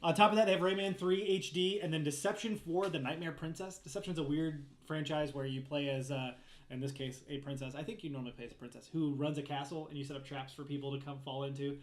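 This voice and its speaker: A man's voice: fairly high pitch, steady tone, fast, roomy sound. A man co-hosting a gaming podcast, talking casually through the news with the other hosts. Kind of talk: casual panel chat about game news.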